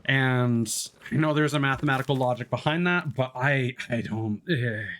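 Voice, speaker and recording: A man's voice: mid-range voice, normal speed, fairly bright tone. A young man streaming from home, chatting casually with viewers, rambling through fillers and restarts and swearing freely. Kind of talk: casual live-stream chat.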